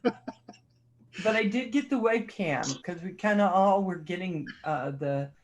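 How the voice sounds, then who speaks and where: A woman chatting casually on a livestream, talkative and quick to tease herself. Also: on the low side, lively intonation, a little hiss or hum, rough recording. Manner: chatty, self-deprecating and teasing.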